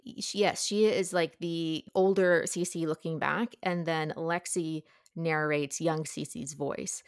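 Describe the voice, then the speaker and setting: A woman's voice: low-pitched, fairly even intonation, measured pace, clear, resonant tone, no room sound, clean studio sound. A woman talking casually and enthusiastically as a guest reviewer in an informal book discussion.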